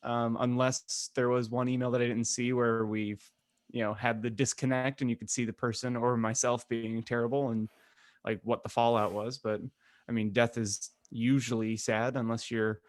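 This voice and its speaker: A man's voice: medium pitch, level tone, moderate pace, dry recording, clean studio sound. A man co-hosting a podcast, chatting casually and hesitantly as he circles round his point. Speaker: podcast co-host. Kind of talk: casual group chat.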